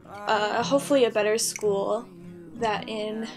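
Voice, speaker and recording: A woman's voice: mid-range voice, steady tone, unhurried. A young woman talking candidly in a casual personal vlog, rambling a little and poking fun at herself. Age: young adult. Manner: candid, self-deprecating, rambling. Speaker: YouTube vlogger. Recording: background guitar music. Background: soft guitar music.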